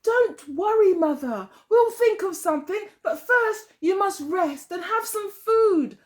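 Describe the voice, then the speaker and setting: A woman's voice: very high-pitched, lively intonation, slow pace, voice pushed up, exaggerated voice. A woman voicing a practical, reassuring grown daughter in a children's story.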